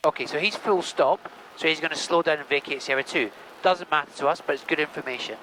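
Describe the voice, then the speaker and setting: A man's voice: a little high, fast talker, sharp tone. A man, a helicopter instructor giving encouraging, repeated short instructions over a headset during a flight. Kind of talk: coaching during a flight lesson. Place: inside a small helicopter in flight. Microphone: aviation headset. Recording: noisy helicopter cockpit. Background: engine and vehicle noise.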